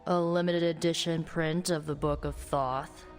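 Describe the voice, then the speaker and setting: An actress playing a chatty, wry young sorceress in a video game, with a breezy, playful conversational manner. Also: female voice, sits low, fairly even intonation, measured pace, soft background music. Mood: intrigued, earnest. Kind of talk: video game cutscene acting.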